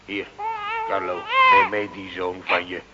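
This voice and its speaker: A man playing an exasperated but soft-hearted Italian village priest in a Dutch radio drama, declaiming solemnly and grumbling. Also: low voice, level tone, measured pace. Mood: scolding, gruff. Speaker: actor in a radio play. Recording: dull, noisy old radio recording.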